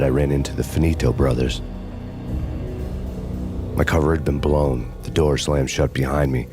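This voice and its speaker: An actor playing a world-weary undercover cop who narrates a noir story in a dry, sardonic way. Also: male voice, very deep voice. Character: grim hard-boiled male undercover cop narrating. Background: music.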